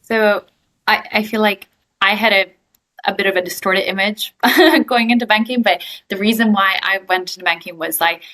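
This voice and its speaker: A woman's voice: mid-range voice, steady tone, normal speed, bright, forceful tone, poor audio. A young woman with an Italian accent, answering interview questions in a chatty way with frequent fillers. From Italy. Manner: chatty, frequent ums and likes. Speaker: guest, growth equity investor.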